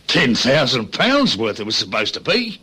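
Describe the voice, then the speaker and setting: An actor playing a grumbling, sardonic Australian accomplice to a train robbery in a radio drama. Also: male voice, mid-range voice, wildly swooping pitch, normal speed, bright, forceful tone, exaggerated voice. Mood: disgusted. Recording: clear background noise.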